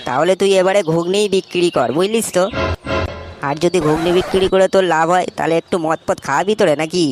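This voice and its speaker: A man's voice: high voice, fast talker, music in the background, lightly acted. Man playing a grumbling broke slacker buddy. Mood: mocking, curious. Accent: West Bengal Bengali. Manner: dismissive, slangy, swearing. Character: broke, grumbling, booze-loving young male slacker buddy. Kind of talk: comic cartoon dialogue.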